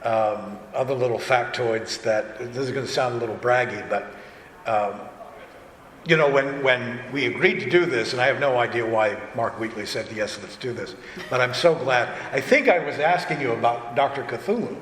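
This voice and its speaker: A man chatting casually as a panelist, halting and self-deprecating in manner. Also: medium pitch, a bit bright. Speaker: panelist, audio drama director. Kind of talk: casual panel discussion chat. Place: convention panel room, indoors. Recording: echoey convention hall, noisy room ambience.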